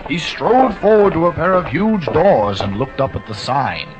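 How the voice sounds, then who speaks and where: A man narrating a classic short story with expressive, dramatic storytelling. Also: fairly high pitch, normal speed, highs cut off, music in the background. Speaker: audiobook narrator. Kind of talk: scripted narration.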